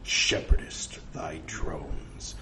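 A man's voice: drawn-out words, bright, forceful tone, in a whisper. A man voicing a scheming sergeant who poses as a divine voice, with ominous, pompous, archaic speech in a story. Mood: ominous, commanding.

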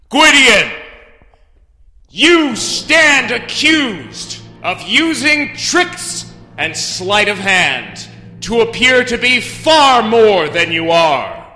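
Pompous thundering accuser in a trial drama, sharp and slow, big echoey room. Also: male voice, exaggerated voice. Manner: booming, pompous, declamatory. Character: thundering pompous accuser, self-proclaimed elder demanding respect. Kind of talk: theatrical mock-trial audio drama. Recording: echoey hall with music behind.

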